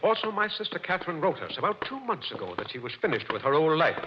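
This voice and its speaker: An uneasy, well-off client. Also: male voice, a little high, very animated, fast. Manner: hesitant and uneasy. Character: nervous, well-to-do man from the city. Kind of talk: 1940s radio drama acting. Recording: muffled 1940s radio recording with faint hiss.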